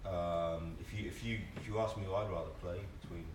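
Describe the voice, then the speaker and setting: A man's voice: low voice, steady tone, fairly quick. A man speaking as an actor on a discussion panel, impassioned, often repeating words and phrases as a point builds. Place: live panel in a theatre before an audience. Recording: echoey room, distant mic, background noise.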